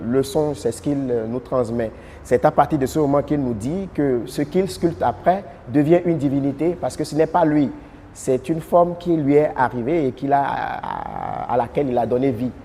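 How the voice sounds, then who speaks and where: A man's voice: medium pitch, dark tone, long reverb tail, a little hiss or hum. A man who collaborates on the project, steadily explaining what the research uncovered. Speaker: artistic collaborator. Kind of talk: interview testimony.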